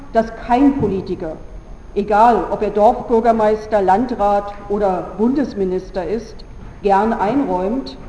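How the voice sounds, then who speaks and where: A woman from Berlin giving a formal, measured conference speech as a politician, earnest, with deliberate pauses. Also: a little high, mellow, a little hiss or hum. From Berlin, Germany. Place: large conference hall. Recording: echoey hall, dull sound, faint ambience.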